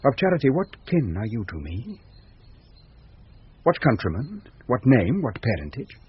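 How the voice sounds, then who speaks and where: An ardent young nobleman in radio Shakespeare, British accent and very breathy, husky voice. He declaims his verse with feeling and wide swings of pitch. The broadcast recording is old and muffled. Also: wildly swooping pitch, normal speed, full character voice. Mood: awed wonder. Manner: emotional, declamatory verse delivery. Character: young gentleman, ardent twin brother thought drowned.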